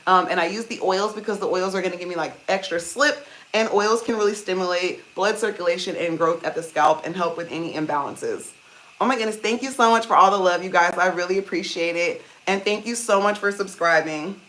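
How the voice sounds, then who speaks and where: A woman with a deep voice hosting a casual hair-care livestream, chatting to viewers and calling them "you guys" while she steams her hair. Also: brisk, a bit bright, rough recording. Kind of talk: casual live-stream chat reading comments. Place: indoors at home, seated on a small chair. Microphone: phone.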